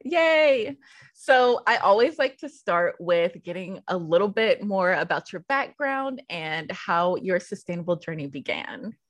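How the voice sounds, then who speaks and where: A woman's voice: on the low side, big pitch swings, a little slow, no room sound. A woman hosting a podcast, moving between scripted introduction and interview. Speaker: podcast host.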